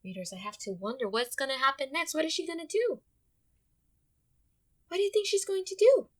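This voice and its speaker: A woman teacher giving an expressive read-aloud lesson, speaking directly to young readers and repeating her questions. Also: high-pitched, a little fast. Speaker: elementary school teacher.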